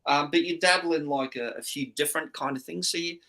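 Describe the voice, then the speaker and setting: A man's voice: fairly high pitch, a little fast, sharp tone. A man with an Australian accent with a hint of Scottish, hosting an easygoing, chatty stream. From Scotland. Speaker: host. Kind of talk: casual chat.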